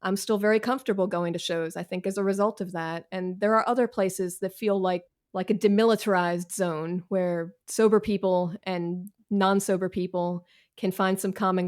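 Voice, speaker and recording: American woman, mid-range voice, podcast guest. Candid, wry, self-deprecating, casual and slangy. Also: medium pitch. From Washington, D.C., United States. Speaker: author, interview guest. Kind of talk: casual conversational interview.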